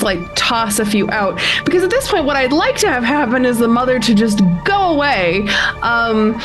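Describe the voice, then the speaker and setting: A woman with a fairly high voice plays a tabletop roleplaying game. She improvises casually and haltingly, sounding a little nervous, with music clearly playing behind her. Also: poor audio. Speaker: tabletop RPG player.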